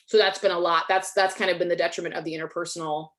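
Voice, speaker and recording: A woman's voice: fairly low pitch, level tone, racing, fairly bright tone. A woman, hosting a casual video-call webinar, chatty and self-deprecating, with lots of likes. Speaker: webinar host, Spanish teacher.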